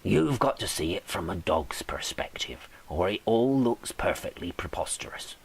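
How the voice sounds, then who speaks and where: A man reading a poetic monologue with a droll, deliberate delivery. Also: deep voice, sing-song, gravelly, breathy edge, in a whisper. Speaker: poet reading own poems. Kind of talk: dramatic monologue poetry reading.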